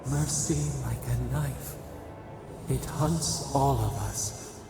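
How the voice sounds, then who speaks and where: An ancient game spirit, gravelly and creaking, mournful, cryptic, solemn, echoing, music behind. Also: medium pitch. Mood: grieving, solemn. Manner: slow, solemn, poetic and riddling. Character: ancient otherworldly nature spirit speaking as many, mournful and cryptic. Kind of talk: video game voice acting.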